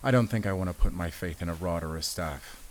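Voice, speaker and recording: An actor playing a battle-weary, protective werewolf hunter in an audio roleplay, with a grave, deliberate, commanding way of speaking to the listener. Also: male voice, sits low, natural voice. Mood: grave, bitter.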